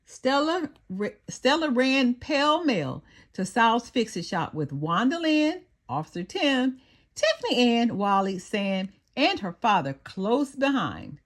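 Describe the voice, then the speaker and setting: A woman narrating a children's storybook aloud in an expressive read-aloud style. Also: fairly high pitch, slow pace.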